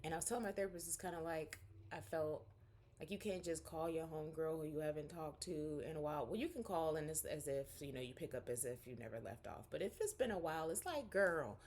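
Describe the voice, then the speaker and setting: A woman in her forties talks to her vlog audience from home in a candid, rambling, chatty way. Her voice sits low, and a faint room hum runs underneath. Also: lively intonation, fast, a little mellow. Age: 40s. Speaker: vlogger. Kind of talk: casual catch-up vlog.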